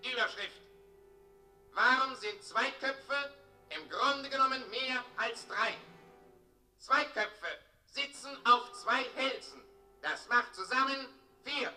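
Officious town crier, radio play: high, measured, declamatory, distant with music behind. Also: male voice, roomy sound, heavily acted. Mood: pompous, official. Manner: declamatory, bureaucratic, loudly proclaiming. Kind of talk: German radio play acting.

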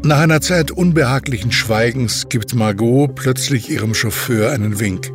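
A man's voice: medium pitch, moderate pace. Male audio drama narrator over music. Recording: music clearly behind the voice.

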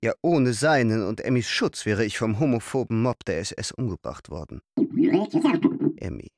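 A man's voice: lowish voice, very animated, moderate pace. An actor playing a vain, theatrical male stage star who hosts guests at his country estate and declaims verse. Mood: somber. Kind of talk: radio play acting.

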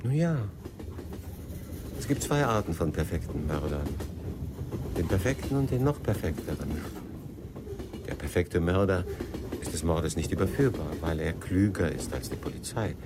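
An actor in a German radio drama playing an evasive, secretive middle-aged husband who also narrates, in a dry, wry manner. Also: male voice, deep voice, expressive, moderate pace, long pauses, very noisy. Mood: controlled, guarded.

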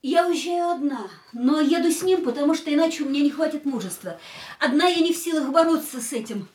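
A woman's voice: sits high, animated, fast, darkish tone. An actress in a radio play playing an anguished but resolute woman leaving the man she loves, earnest and pleading. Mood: firm, agitated. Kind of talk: Russian radio play acting.

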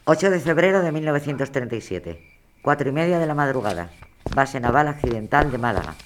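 A man narrating scene headings in a radio drama in a measured, announcing voice. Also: medium pitch, lively intonation, a little hiss or hum. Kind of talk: scripted narration. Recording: music behind.

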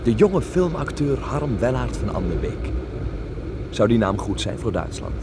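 An actor playing an eager, dreamy 21-year-old would-be film actor in a radio drama. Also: male voice, medium pitch, very animated, measured pace. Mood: dreamy, excited. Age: young adult. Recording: radio play with loud vehicle noise.

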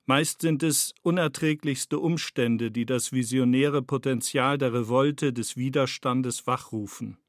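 A man's voice: mid-range voice, a little slow, few pauses, dry recording. Dry-sounding male radio feature narrator. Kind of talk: scripted essayistic narration.

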